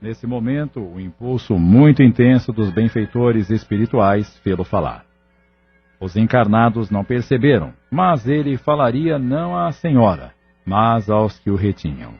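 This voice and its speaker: Low, husky male audio novel narrator. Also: sing-song, a little slow. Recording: muffled lo-fi audio drama recording. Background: background music.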